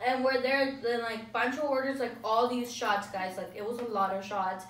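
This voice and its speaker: A woman's voice: fairly high pitch, fairly even intonation, normal speed, recorded from a distance. Young woman rambling, off-mic in an echoey room. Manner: rambling, fills speech with "like". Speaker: vlogger. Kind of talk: casual storytime.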